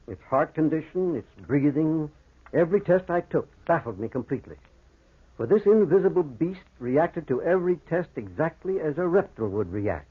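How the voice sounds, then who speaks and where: A man's voice: a little high, husky. A man playing an earnest, educated doctor in an old-time radio horror drama, speaking in a precise, formal and grave manner. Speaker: actor and first-person narrator. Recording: muffled, tinny vintage radio recording.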